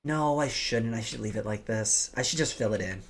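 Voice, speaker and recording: A woman with a rough, slightly hoarse and rattly voice, chatting casually as she hosts a game livestream and talks through her plans. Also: rumbling low, normal speed, slight background noise. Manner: chatty, explains plans aloud while playing. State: hoarse from days of coughing. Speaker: livestream host. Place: home office. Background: music.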